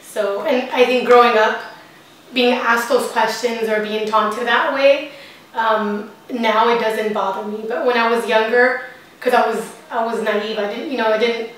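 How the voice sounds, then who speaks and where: A woman answers interview questions in a casual, hesitant way, full of fillers and restarts. Her voice sits a little high and comes from some distance off the mic. The room around her is very echoey. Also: a bit bright.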